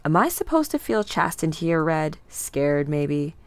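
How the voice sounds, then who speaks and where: A woman giving a dramatic, theatrical read-aloud of a comic book, acting out the characters slowly and deliberately. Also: low-pitched, big pitch swings, dry recording. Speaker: comic book reader performing all characters.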